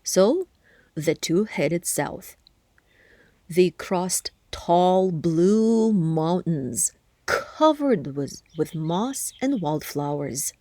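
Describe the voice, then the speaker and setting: A woman narrating a children's story aloud expressively, with deliberate phrasing and hushed, breathy word endings. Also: on the low side, animated, dry recording. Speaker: storybook reader.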